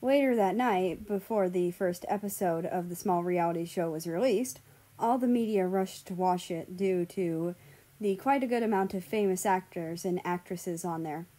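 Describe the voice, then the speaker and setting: A woman's voice: lowish voice, normal speed, dark tone. A woman narrating a scripted story as an audiobook reader of fan fiction.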